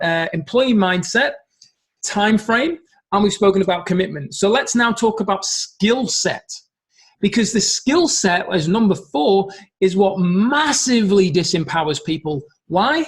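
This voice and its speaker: A man's voice: high-pitched, lively intonation, moderate pace. A middle-aged man giving an earnest motivational business talk, stressing points by repeating them. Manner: earnest, emphatic, repeats key phrases. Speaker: business coach.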